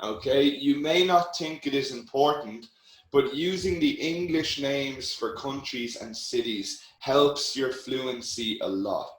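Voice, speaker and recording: A man teaching an English lesson, slowly repeating each example sentence. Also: mid-range voice. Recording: roomy echo.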